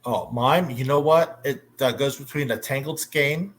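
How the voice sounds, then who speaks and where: A man with a mid-pitched voice hosts a casual fan discussion. He is enthusiastic and talkative, pausing often as he gathers his thoughts and hands questions to the others. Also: a bit bright. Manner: enthusiastic, halting with frequent pauses. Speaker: panel host.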